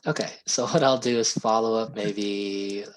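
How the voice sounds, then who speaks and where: A hoarse man explaining software pricing and support on a video call, polite and steady. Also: lowish voice, moderate pace, a little hiss or hum, low-quality recording. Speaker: sales representative giving a software demo. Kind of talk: video call sales pitch.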